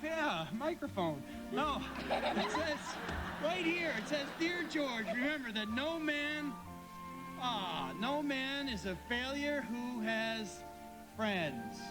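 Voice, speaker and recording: A man's voice: very high-pitched, loud music behind the voice, full character voice, rough recording. A man acting an overjoyed, stammering young father in a live radio play staged from a 1940s script. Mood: tender, elated. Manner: excitable, halting, stammering. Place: live theatre stage performance.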